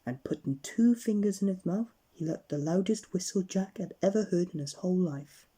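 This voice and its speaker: A woman reading a bedtime story aloud with expressive, dramatic narration. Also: lowish voice, moderate pace, husky.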